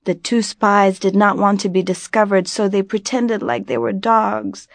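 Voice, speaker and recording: A woman with an American accent tells a folk tale as an expressive storyteller, in a deliberate, dramatic manner with phrases drawn out. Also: mid-range voice, no echo. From grew up in Virginia, United States.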